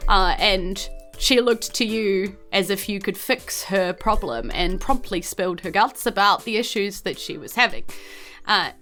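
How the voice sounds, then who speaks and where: A woman's voice: medium pitch, expressive, a little slow, a bit bright, soft background music. A woman with a New Zealand accent hosting as game master, narrating a tabletop game informally.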